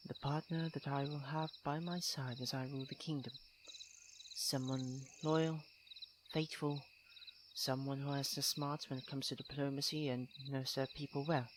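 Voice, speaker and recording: Night crickets chirp clearly around a young prince played by a male voice actor. He is haughty but tender underneath, choosing formal, precise words in a mid-pitched voice. It plays as an intimate audio roleplay addressed to a close childhood friend. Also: noisy background, lightly acted. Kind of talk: ASMR roleplay acting, intimate monologue to the listener. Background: night crickets and insects.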